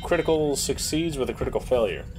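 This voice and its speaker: A man's voice: medium pitch, expressive, fast, over music. A man playing a tabletop roleplaying game, chatting casually in a colloquial, decisive way. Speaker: player captaining the ship. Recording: clearly noisy background.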